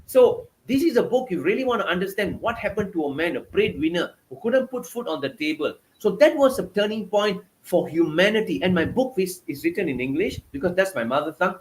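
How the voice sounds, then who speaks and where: A man's voice: high voice, animated, fairly quick, hardly any pauses, slight background noise, rough recording. A man with a Malaysian accent, a guest author giving passionate, emphatic interview answers over a video call. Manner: passionate, emphatic, repetitive phrasing.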